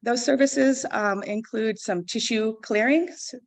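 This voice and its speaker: Loud-voiced woman giving a conference talk. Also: a little high, takes it slowly, vocal fry. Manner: loud voice, informal with fillers. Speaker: core facility director. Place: conference hall.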